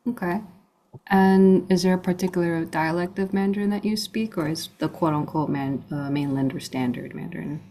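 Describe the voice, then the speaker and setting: A young woman asking prepared interview questions clearly, as if reading from a script. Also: lowish voice, normal speed, warm tone, slight background noise. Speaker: student interviewer.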